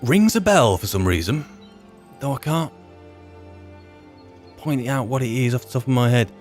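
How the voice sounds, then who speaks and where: A man's voice: medium pitch, animated, normal speed, darkish tone, faint music behind. A man hosting a gaming video, improvising roleplay commentary in a wry, musing and rambling manner.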